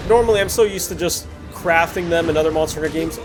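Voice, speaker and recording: A man hosting a gameplay series with casual, chatty, reflective commentary that often pauses mid-sentence. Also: on the high side. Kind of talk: casual post-recorded game commentary. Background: music under the voice.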